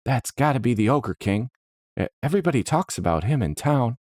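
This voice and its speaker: A man's voice: medium pitch, animated, brisk, a little mellow, no echo, voice pushed up, close to an everyday voice. A man voicing an earnest, troubled male adventurer with an urgent, sincere manner in a fantasy story.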